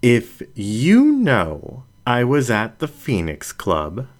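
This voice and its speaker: A man's voice: medium pitch, huge pitch swings, takes it slowly, voice pushed up. Man voicing a skeptical, wounded animal-folk lady reporter, swooping intonation. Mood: challenging, skeptical. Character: hurt, skeptical young female animal-folk video journalist. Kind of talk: storybook character reading.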